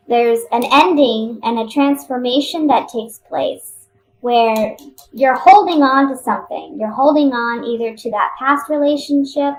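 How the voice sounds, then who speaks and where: High-voiced woman giving a casual tarot reading. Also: fairly bright tone, slight background noise, low-quality recording. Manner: conversational, thinking aloud with pauses and repeats. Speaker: tarot card reader.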